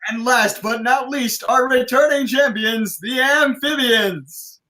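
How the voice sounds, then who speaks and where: Bright, high-pitched male race announcer played by an actor. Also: slow pace, exaggerated voice. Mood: showy, teasing. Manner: showy, teasing announcer delivery. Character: brash male drone race announcer working the crowd. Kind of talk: live table read of a film script.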